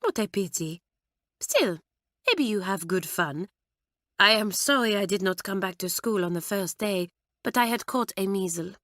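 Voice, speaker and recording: A woman's voice: medium pitch, expressive, moderate pace, a bit bright, no echo, clean studio sound, lightly acted. A woman voicing a cheeky, amiable French schoolgirl with a French accent, light and unbothered. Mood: breezy.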